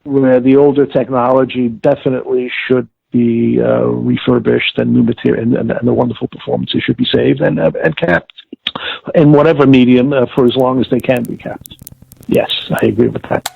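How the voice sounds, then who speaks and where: Male interview guest, husky and creaky, halting but emphatic, heard over a narrow phone-like line. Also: mid-range voice, flat delivery, no room sound. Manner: halting, emphatic, repeats words for stress. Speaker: interview guest, audiobook expert. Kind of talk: reflective interview conversation.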